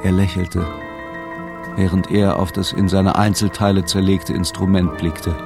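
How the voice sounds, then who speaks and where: A man narrating a radio drama as a sombre, measured storyteller. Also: low-pitched, level tone, vocal fry, over music.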